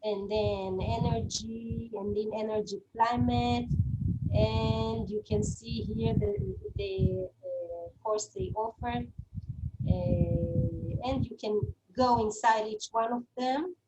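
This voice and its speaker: A woman's voice: a little high, steady tone, takes it slowly, mellow, dull audio, a little hiss or hum. Hesitant Hebrew-accented woman lecturing. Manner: hesitant, frequent fillers and restarts. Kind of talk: online webinar lecture.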